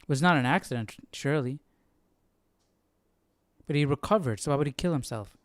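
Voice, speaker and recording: A man's voice: fairly high pitch, fairly quick, dry recording, studio quality. A man hosting a casual live Bible study, musing and questioning as he thinks aloud. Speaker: host.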